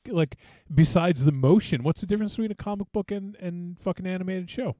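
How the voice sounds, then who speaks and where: A man's voice: high voice, fairly quick, mellow, dry recording. A man co-hosting a podcast, teasing his friends in casual chat. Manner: teasing, needling. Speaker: podcast co-host.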